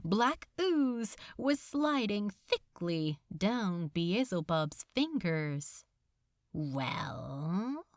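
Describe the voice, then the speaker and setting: A woman's voice: medium pitch, sing-song, extremely slow, hardly any pauses, dry recording, clean studio sound. A woman reading a story aloud as an audiobook narrator, in a dramatic scripted style.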